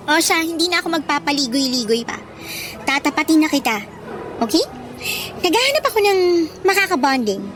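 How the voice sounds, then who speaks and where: A woman's voice: sits high, sing-song, over music. A woman playing a pushy, vain, slangy female college student in a radio drama. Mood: sassy, teasing. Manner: sassy, slangy, cajoling, drawn-out words.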